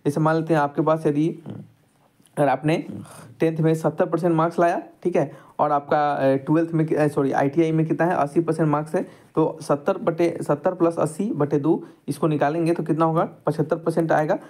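A man's voice: a little high, fairly even intonation, a little fast, darkish tone. A man tutoring students over a screen-recorded lesson, explaining step by step and addressing them directly. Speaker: exam tutor.

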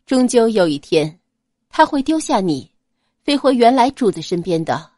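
A composed young noblewoman from an audio novel speaks calmly and holds her feelings back, as a woman reads her. Her voice moves in a lively way, and the recording is close and dry. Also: fairly high pitch, normal speed, no room sound. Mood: cool. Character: cool, guarded young noblewoman. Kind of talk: audiobook character voice.

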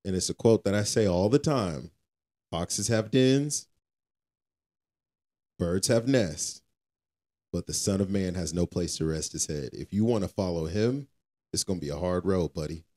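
A man's voice: deep voice, expressive, unhurried, pauses often, warm tone. A man co-hosting a casual faith podcast, talking in an informal, colloquial and earnestly preachy way. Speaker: podcast co-host.

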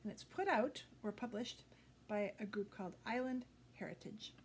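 A woman's voice: medium pitch, normal speed. Older woman storyteller, noisy recording. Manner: expressive, addressing young listeners directly. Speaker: storyteller reading a picture book aloud. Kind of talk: children's read-aloud storytelling. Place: indoors before a green backdrop. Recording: noticeable background noise.

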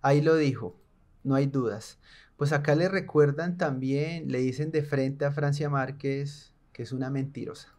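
A man's voice: medium pitch, moderate pace, a little mellow, a little hiss or hum. A young man from Colombia giving sarcastic, indignant political commentary in a small room. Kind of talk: opinionated video commentary.

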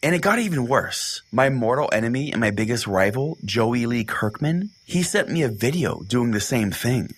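A man playing an irritated, foul-mouthed small-town pastor, telling strange tales deadpan on a comedy podcast. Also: mid-range voice, moderate pace, few pauses, husky. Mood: exasperated.

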